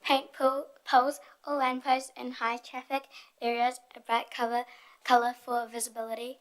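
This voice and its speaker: High, even-toned young woman. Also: normal speed. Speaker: youth forum presenter. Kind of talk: prepared group presentation, read aloud.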